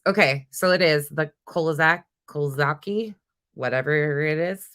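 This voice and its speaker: A woman chatting casually as a livestream host, informally hopping between replies to viewers. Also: deep voice. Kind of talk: casual live chat, reading and answering viewer comments.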